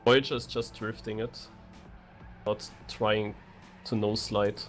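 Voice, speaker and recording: A man co-commentating a video game race live, in halting, hesitant phrases. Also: fairly low pitch, little pitch movement, faint music behind. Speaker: esports co-commentator.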